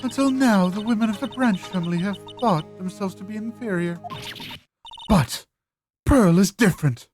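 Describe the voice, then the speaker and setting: A woman voicing a proud, severe village matriarch in a video game, speaking in a formal, theatrical, deliberate way. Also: medium pitch, a little mellow, soft background music, lightly acted. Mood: proud, fervent. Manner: haughty, dramatic, deliberate. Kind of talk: amateur video game voice-over.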